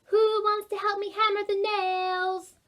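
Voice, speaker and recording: A woman reading a picture book as a determined, indignant little girl, speaking emphatically and deliberately. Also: extremely high pitch, level tone, a bit bright, pitched above the performer's own voice. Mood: determined, undaunted. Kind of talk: picture-book read-aloud.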